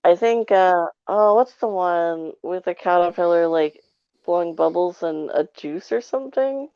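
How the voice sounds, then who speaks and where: A woman's voice: lowish voice, unhurried, clean tone, dry recording, lo-fi sound. A woman giving her take in a casual, rambling review chat with an informal way of talking. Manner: rambling, informal, frequent 'like'. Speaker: podcast guest reviewer.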